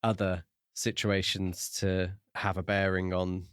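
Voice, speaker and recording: A man's voice: sits low, little pitch movement, unhurried, a bit bright, clean tone, no room sound, studio quality. A man co-hosting a podcast and talking casually about a book. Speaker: podcast co-host.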